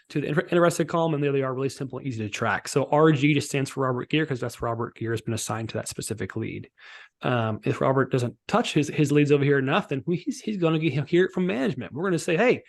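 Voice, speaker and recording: A man giving an informal, instructional software walkthrough as a sales trainer on a live call, often checking that listeners follow. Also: a little high, fast. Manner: informal, instructional, checks for understanding with tags like "right?" and "okay?". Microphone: online group call.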